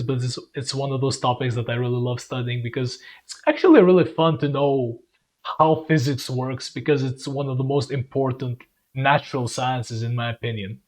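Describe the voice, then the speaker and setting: A young man hosting a podcast, friendly and rambling, often correcting himself as he introduces his guests. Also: mid-range voice. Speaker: podcast host.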